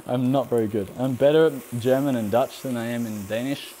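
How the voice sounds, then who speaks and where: A man's voice: medium pitch, moderate pace, noisy background. A young Australian man with a mild accent hosting a live cooking stream, chatting loosely with fillers while he answers viewer questions. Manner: rambling and relaxed, frequent ums, laughs often. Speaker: cooking channel host.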